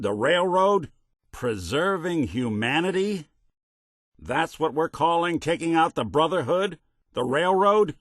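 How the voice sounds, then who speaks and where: A man's voice: mid-range voice, dry recording. A man voicing a weary, world-worn but principled android detective in a video game, with dry, sardonic delivery and folksy hard-boiled noir phrasing. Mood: indignant, disappointed.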